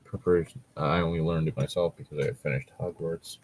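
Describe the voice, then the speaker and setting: A man's voice: rumbling low, fairly even intonation, fast, darkish tone. A man reading aloud and commenting casually, in an informal, sweary way as he reacts to the text. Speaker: co-host of a fan fiction reading show.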